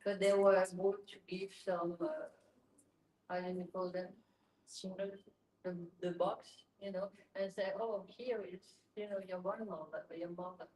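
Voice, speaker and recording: A woman asking questions in an informal group discussion, halting as she searches for words. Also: medium pitch, flat delivery, a little mellow. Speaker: audience member asking questions. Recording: echoey room.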